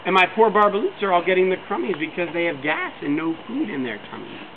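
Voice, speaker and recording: A man voicing a small grumpy male forest creature who scolds and croaks with a cough. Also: high voice, sing-song, fast talker, pitched above the performer's own voice. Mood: scolding. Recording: tinny phone-like line with loud noise.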